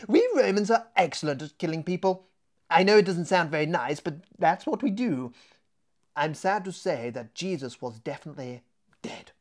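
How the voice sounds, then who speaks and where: A man's voice: fairly high pitch, lively intonation, normal speed, pitched above the performer's own voice, lightly acted. A man voicing a proud, matter-of-fact Roman general in a children's storybook, with a clipped, pompous manner. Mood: brisk, matter-of-fact.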